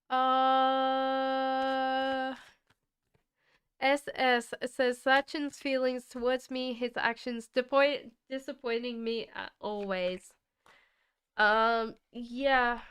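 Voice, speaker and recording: A woman with an Australian accent blended with British tones gives a chatty live-stream tarot reading. Her voice is very smooth and a little high, and she takes her time. She reads viewer comments aloud and laughs easily. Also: flat delivery, drawn-out words, pauses often, a bit bright. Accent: Australian mixed with British. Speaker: tarot reader. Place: home in the UK.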